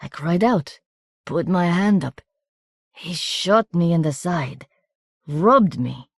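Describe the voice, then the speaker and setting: A woman voicing a wounded, delirious gentleman. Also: on the low side, animated, no echo, studio quality, heavily acted. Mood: weary, pleading. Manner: pained, breathless, halting, partly whispered. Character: wounded bewildered old-fashioned gentleman, delirious with pain.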